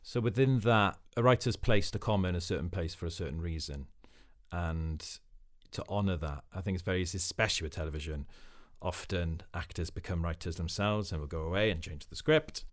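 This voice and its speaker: A man's voice: sits low, a little fast. A man from Wales with a Welsh accent, a Welsh speaker, talking thoughtfully as an actor guest in an interview. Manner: thoughtful, reflective, with frequent fillers. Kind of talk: casual interview.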